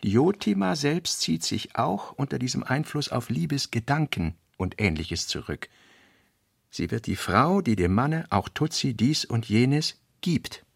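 A man reading an author's fragmentary working notes for a radio play, slowly and deliberately. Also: mid-range voice, creaky, slightly rough, no room sound, clean studio sound. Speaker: reader.

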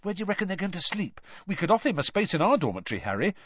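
A man voicing an excitable teenage boy wizard who is eager and scathing by turns, on a dull, dry recording. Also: medium pitch, normal speed, dry recording.

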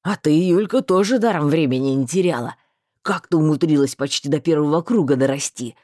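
A woman voicing a bluff, talkative male mage with a rough, folksy, rambling way of speaking. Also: low-pitched, brisk, a little mellow, dry recording, clean studio sound, voice pushed down. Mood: teasing.

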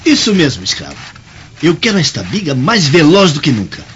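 A man plays an exuberant young Roman nobleman in a radio drama, arrogant and bossy, with a Brazilian accent. His higher voice leaps about over faint ambient noise. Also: huge pitch swings, fairly quick, a bit bright, dull audio. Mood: exultant, bossy. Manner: bossy, exultant.

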